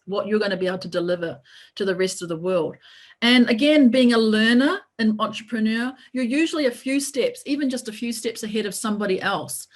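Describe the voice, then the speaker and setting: Chatty encouraging New woman. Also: mid-range voice. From New Zealand. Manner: encouraging, talkative, leans on "right?". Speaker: lawyer and coach. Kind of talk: casual webinar talk.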